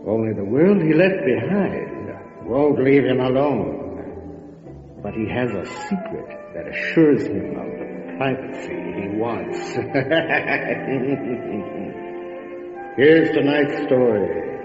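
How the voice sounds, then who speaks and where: Macabre radio horror host, slow delivery, swooping pitch, theatrical, darkly joking, long reverb over music. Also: male voice, big pitch swings. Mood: ominous, sly. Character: ghoulish, macabre, pun-loving male horror host. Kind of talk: old-time radio horror host monologue. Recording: muffled, long cavernous reverb, music behind.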